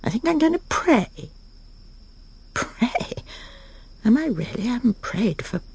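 An actress playing a prim, self-satisfied, controlling middle-aged English wife in a radio drama, brisk and genteel. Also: female voice, on the high side, very animated, gravelly, a little mellow, slightly rough, full character voice. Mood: uneasy musing. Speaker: lead actress and narrator. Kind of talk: radio drama acting with inner monologue.

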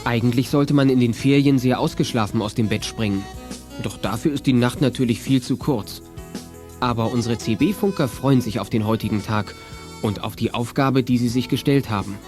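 A man's voice: mid-range voice, moderate pace, over music, lightly acted. A man acting as narrator of a German children's detective audio play, telling the story in a scripted style. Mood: easygoing, storytelling.

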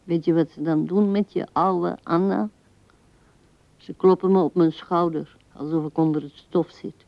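An actress playing an old widow who muses tenderly and haltingly to her dead husband in a radio play, often sinking to a whisper. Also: fairly low pitch, fairly even intonation, creaky, no echo. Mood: weary, hurt. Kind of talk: intimate radio play monologue. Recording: dull, dry vintage radio recording.

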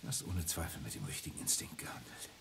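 An actor playing a reserved, ironic gentleman in a radio play. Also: rumbling low, sing-song, fast, creaky, in a whisper, full character voice. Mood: wry, tender.

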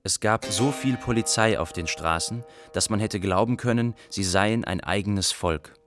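A man plays a detached narrator in a literary radio drama, with a wry manner. His voice is fairly low, with soft music behind it. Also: moderate pace, lightly acted.

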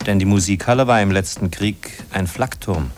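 An actor as a matter-of-fact narrator in a 1950s radio drama, speaking in short scripted sentences. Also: male voice, low-pitched, normal speed, music in the background, natural voice. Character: matter-of-fact male narrator following a stilt walker.

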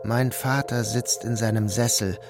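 A man's voice: mid-range voice, fairly even intonation, measured pace, music in the background. A man narrating a radio play in the first person, with an inward, dreamy delivery. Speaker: narrator and lead actor.

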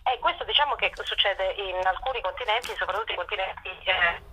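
A woman with a slightly husky voice, explaining things phrase by phrase with hesitations as a radio interview guest. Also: medium pitch, quick pace, bright, forceful tone, no echo, rough recording. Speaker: interview guest, stage actress. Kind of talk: spontaneous radio interview, promoting a stage show.

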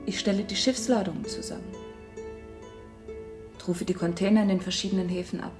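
An actress playing a modest, chatty young office clerk in a radio drama, speaking plainly and in a friendly way. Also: female voice, mid-range voice, steady tone, fairly quick, close to an everyday voice. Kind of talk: German radio drama acting. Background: plucked guitar music.

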